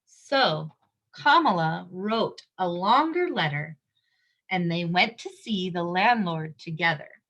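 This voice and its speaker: A woman's voice: lowish voice, animated, slow pace, fairly bright tone, dull audio. A woman reading a picture book aloud to young children, explaining things simply and emphatically and asking her listeners questions.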